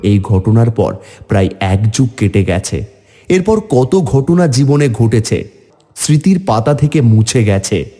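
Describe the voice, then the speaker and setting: A village man played by a man tells his own ghost tale for a horror cartoon. His mellow voice is very animated and theatrical, swinging widely in pitch. Faint music runs beneath. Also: measured pace. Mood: grave narration. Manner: theatrical, rising into high pleading. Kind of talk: dramatic first-person horror story narration.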